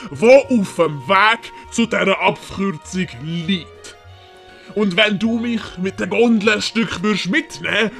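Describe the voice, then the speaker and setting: A woman voicing a sly, scheming man who wheedles slowly in an audio play. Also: medium pitch, gravelly, a bit bright, full character voice. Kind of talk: German-language audio play acting.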